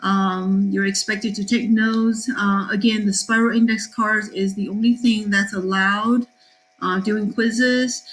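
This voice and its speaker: A middle-aged woman from Taiwan, a math teacher, giving a cheerful class introduction with frequent ums and pauses. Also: mid-range voice, little pitch movement, poor audio. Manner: cheerful, with many fillers and pauses.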